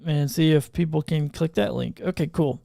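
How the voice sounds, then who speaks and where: Higher-pitched casual male livestream host, gravelly edge. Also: normal speed, mellow, no echo, clean studio sound. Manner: informal, thinking aloud while troubleshooting. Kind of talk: casual unscripted livestream chat. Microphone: podcast microphone through audio mixer.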